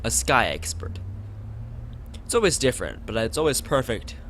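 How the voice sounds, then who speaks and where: A man voicing a dreamy, blunt, odd teenage schoolgirl from a visual novel in a halting, matter-of-fact way. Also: medium pitch, sing-song, fairly bright tone, slight background noise.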